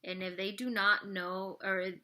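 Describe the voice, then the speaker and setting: Woman, 30s, vlogger, bright chatty voice, rambling, casual Q&A to camera. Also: mid-range voice, level tone, measured pace. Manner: rambling, full of "like" and "you know". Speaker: vlogger answering viewer questions.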